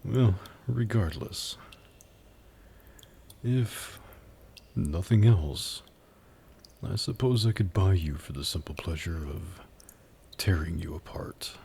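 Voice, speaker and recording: ASMR demon buyer, acted by a man: very deep, gravelly, mocking and flirtatious, predatory, breathy close-mic delivery. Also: mellow, slight background noise, exaggerated voice. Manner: slow, taunting, condescending purr. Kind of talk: ASMR roleplay acting.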